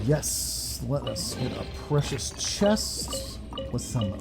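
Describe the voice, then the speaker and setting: A man's voice: mid-range voice, big pitch swings, slow, creaky. A man giving casual gameplay commentary as a streamer, offhand and playful, with some swearing. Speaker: game streamer. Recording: game music behind the voice.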